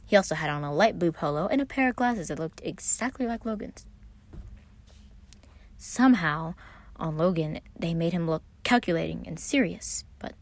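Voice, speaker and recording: A woman reading fan fiction aloud as an expressive scripted story narration. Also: fairly low pitch, normal speed.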